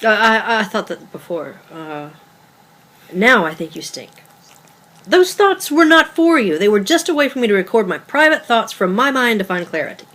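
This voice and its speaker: A woman's voice: medium pitch, very animated, normal speed, fairly bright tone. A woman reading as a blunt, guarded girlfriend. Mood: awkward, defensive. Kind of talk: table read of a two-person stage play.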